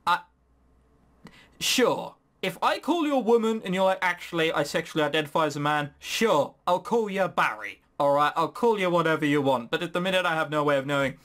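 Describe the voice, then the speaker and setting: A man's voice: a little high, expressive, a little fast, a bit bright. A man hosting a gaming video, reading game text aloud and commenting on it casually with sarcastic, incredulous, rambling asides.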